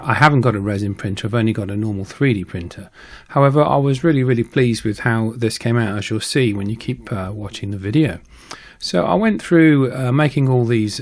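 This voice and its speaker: A man with a fairly low voice narrates a hobby tutorial as a voice-over. He speaks casually and conversationally, pausing often and filling gaps with ums as he explains his process. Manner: hesitant, conversational, with frequent pauses and ums. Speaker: video creator and hobbyist prop maker. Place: voice-over recording.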